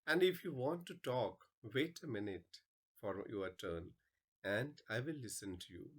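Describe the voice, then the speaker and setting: A male English teacher presenting a lesson and reciting poems aloud in a deliberate, expressive way. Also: lowish voice, breathy edge. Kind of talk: instructional narration and poem recitation.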